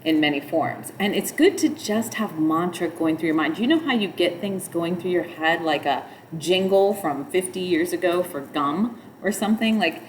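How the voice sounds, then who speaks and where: A middle-aged woman with a nasal voice, teaching yoga in a relaxed, rambling way. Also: lowish voice, moderate pace, few pauses, a little hiss or hum, low-quality recording. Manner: chants mantras in a nasal sung tone between explanations. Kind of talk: spoken yoga instruction.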